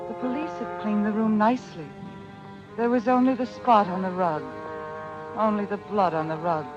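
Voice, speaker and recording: A woman acting a grieving, lovesick, anxious widow in old-time radio drama, with halting, melodramatic delivery. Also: medium pitch, steady tone, dull audio, natural voice. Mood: haunted, weary. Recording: old radio recording with music. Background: dramatic music.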